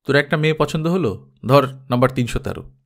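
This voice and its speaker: A man's voice: mid-range voice, expressive, quick pace, vocal fry. A man playing a talkative, wistful middle-class elder brother in an audio story, rambling on matter-of-factly. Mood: wistful, chatty.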